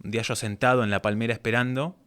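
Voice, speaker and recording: A man's voice: medium pitch, steady tone, quick pace, fairly bright tone, clean tone. A man with a Rioplatense Spanish accent hosting a casual interview, speaking in an informal, colloquial way. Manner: informal, colloquial, loosely structured questions. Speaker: podcast host, interviewer.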